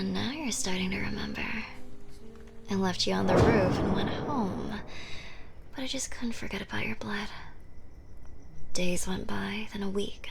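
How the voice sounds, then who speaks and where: Woman acting as seductive female vampire, obsessive, sardonic, slow gravelly fry, intimate ASMR roleplay, clear background noise. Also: medium pitch. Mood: obsessed, yearning. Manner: flirty, sardonic, hushed and breathy delivery.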